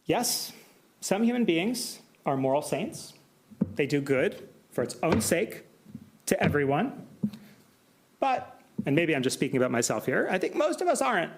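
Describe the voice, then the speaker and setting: Lively American man giving a lecture. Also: fairly high pitch. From United States. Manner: measured, explanatory, with asides and filler "right?". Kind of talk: academic symposium lecture. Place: lecture hall.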